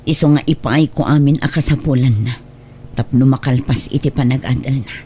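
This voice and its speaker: A woman's voice: very deep voice, steady tone, fairly quick, dark tone, a little hiss or hum. A woman playing a hurt, long-suffering single mother in a radio drama, pleading for her child in an Ilocano accent. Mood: sorrowful. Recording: music bed under the voice.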